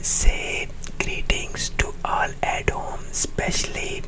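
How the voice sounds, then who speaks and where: An ASMR creator whispering softly and slowly, repeating names again and again with gentle, affectionate sign-offs. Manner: slow, soft whisper repeating names over and over.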